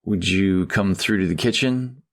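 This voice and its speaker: A worried middle-aged dad played by a male audiobook actor with a low voice full of creak. He is polite and hesitant, feeling his way through each halting phrase. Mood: polite, hospitable. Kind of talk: audiobook dialogue acting.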